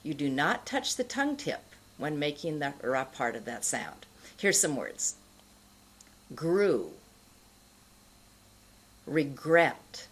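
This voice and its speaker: Middle aged woman teaching pronunciation, low voice, really careful enunciation, lots of up and down. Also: big pitch swings, breathy edge. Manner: deliberate, careful enunciation with demonstrated sounds. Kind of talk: instructional lesson to camera.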